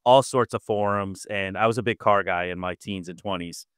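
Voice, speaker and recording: A man from Massachusetts hosts a book podcast, swapping stories in a relaxed, chatty way. His voice comes through smooth and clear. The sound is dry and close to the mic. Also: low-pitched, normal speed, no room sound. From Massachusetts, Nantucket. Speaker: podcast host. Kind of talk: casual podcast conversation.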